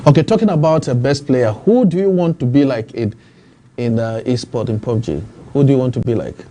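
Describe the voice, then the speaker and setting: A man's voice: mid-range voice, expressive, a little fast, warm tone, slight background noise. A man hosting a studio TV interview, asking formal, leading questions with fillers. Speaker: TV talk show host.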